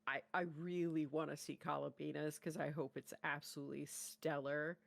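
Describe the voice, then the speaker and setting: A woman's voice: low voice, level tone, moderate pace, vocal fry, no echo. A woman co-hosting a reaction show, gushing and exclaiming in casual chat. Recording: game trailer music in background.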